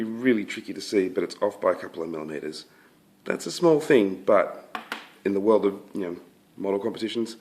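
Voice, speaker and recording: A low-voiced man talks through his finished scale model in an easy, unhurried way. His voice is croaky because he has been sick, and he stumbles into fillers and restarts as he thinks aloud. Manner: relaxed, hesitant, many fillers and restarts. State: croaky from illness. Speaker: scale model hobbyist presenting his build. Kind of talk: casual review to camera.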